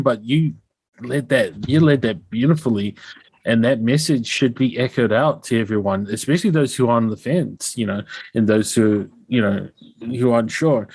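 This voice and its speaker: A man's voice: mid-range voice, no echo. A man with a New Zealand accent hosting a casual podcast interview, speaking in an effusive, appreciative way.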